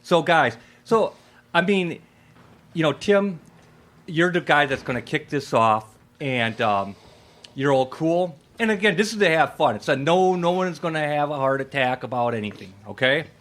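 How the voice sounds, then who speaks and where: A man's voice: a little high, lively intonation, moderate pace, fairly bright tone. A man hosting a live radio play event in a casual, effusive, rambling way. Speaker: host and playwright.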